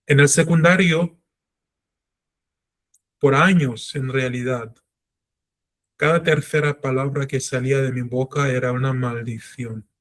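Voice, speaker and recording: A man's voice: mid-range voice, fairly even intonation, unhurried, long pauses, fairly bright tone. A middle-aged man teaching a Torah class in an earnest, self-reflective way, drawing on his own life. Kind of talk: religious class lecture.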